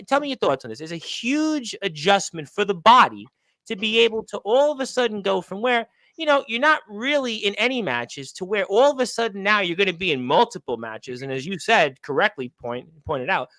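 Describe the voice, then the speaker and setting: A man's voice: sits high, sing-song, normal speed, pushed and bright, no echo. A man hosting a radio interview, asking long, rambling questions that circle back on themselves. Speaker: radio show host.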